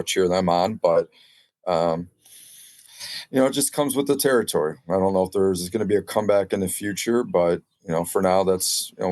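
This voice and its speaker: A man's voice: low voice, warm tone, no echo, clean studio sound. A man talking casually as a guest on a sports podcast, reflecting as a former competitor.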